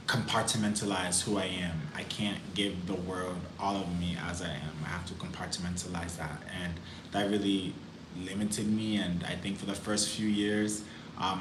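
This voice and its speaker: A man live streaming, reflecting on his music career and greeting viewers by name. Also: deep voice. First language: English. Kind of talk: casual live stream chat. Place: indoors in Cali, Colombia. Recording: roomy with clear background noise.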